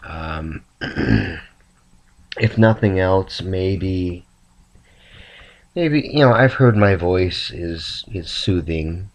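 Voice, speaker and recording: A man sick and rambling softly to his camera late at night in a raspy, half-whispered voice. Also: sits low, lively intonation. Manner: slow, meandering, soft-spoken. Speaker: vlogger.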